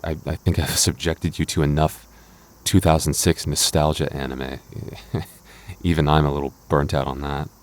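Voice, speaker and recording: A man's voice: very deep voice, little pitch movement, moderate pace. A man playing a clingy, jealous boyfriend in an intimate audio roleplay, stammering and mock-indignant but affectionate. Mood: playfully grumbling.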